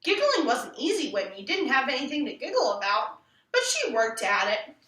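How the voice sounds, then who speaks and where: Female children's book reader, very lively intonation and bright tone, recorded from a distance in a roomy space. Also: sits high, moderate pace. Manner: animated, acts out the quoted lines. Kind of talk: expressive storybook read-aloud. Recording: roomy echo, distant from the mic.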